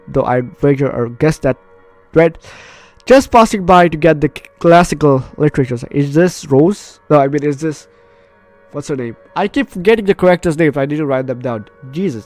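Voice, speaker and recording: A man's voice: a little high, a little mellow. Man reading game text, music behind. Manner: playful, chatty asides. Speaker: gaming YouTuber. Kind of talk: let's play, reading game text aloud with casual reactions.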